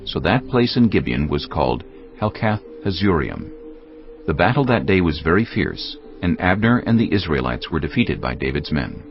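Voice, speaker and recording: Deep, gravelly male Bible narrator. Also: sing-song, moderate pace. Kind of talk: scripted dramatized Bible narration. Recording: dull lo-fi audio with music behind. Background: faint music.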